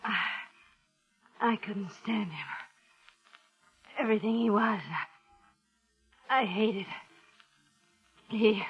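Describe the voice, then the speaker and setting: An anguished wife full of bitter hatred in a vintage radio thriller. Her phrases are breathless and dragged out extremely slowly. The old recording is muffled, with faint music behind. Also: medium pitch, breathy edge. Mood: bitter, trembling confession. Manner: breathless, halting, intense. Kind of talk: 1950s radio melodrama acting.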